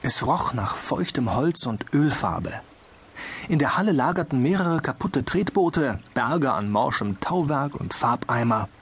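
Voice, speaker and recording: Man narrating a children's story, calm, mid-pitched, clear hiss behind. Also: measured pace. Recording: audio drama with clear noise behind.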